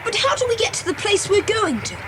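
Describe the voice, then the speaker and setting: Very high-pitched keen British child. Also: female voice, very animated, quick pace. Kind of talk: children's audio drama acting. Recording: noisy cassette with vehicle rumble.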